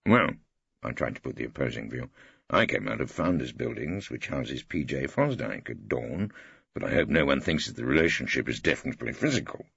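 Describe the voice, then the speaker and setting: A man with an English accent playing a wry, irreverent older barrister, gravelly and droll, narrating an audiobook. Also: a bass voice, very animated, fairly quick, a bit bright, no echo, muffled recording, exaggerated voice. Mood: dry, innocent. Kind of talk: first-person audiobook narration with dialogue.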